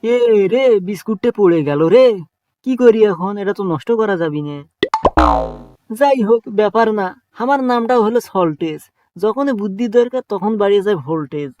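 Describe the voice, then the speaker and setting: A man's voice: high-pitched, sing-song, normal speed, a little mellow, dry recording, dull audio. A man doing a cheeky scheming cartoon trickster. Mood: sly. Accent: Bogura dialect of Bangla. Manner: cheeky, teasing banter. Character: broke scheming young male trickster, cheeky joker. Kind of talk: cartoon comedy voice acting.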